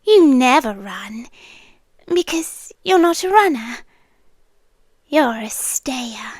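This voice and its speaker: A British woman playing a possessive, doting and controlling yandere landlady in an intimate ASMR roleplay, breathy and coaxing, often dropping to a whisper. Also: high-pitched, lively intonation, slow, no echo, exaggerated voice. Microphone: close ASMR microphone, dry.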